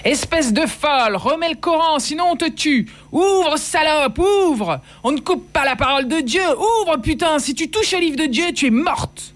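A woman reading aloud voices a furious crowd of men hurling shrill, shouted threats in an audiobook. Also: animated, normal speed, hardly any pauses. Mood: enraged.

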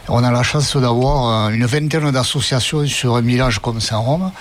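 A man with a middle-range voice answers interview questions as a local resident. He is relaxed and fills the gaps between phrases with hesitations, over faint background ambience. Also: medium pitch, normal speed, slight background noise.

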